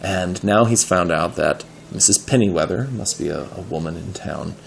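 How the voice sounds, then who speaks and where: A low-voiced man reads a story aloud with expression and patiently explains words as he goes. His voice has a gravelly fry, and there is some background noise on the recording. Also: moderate pace.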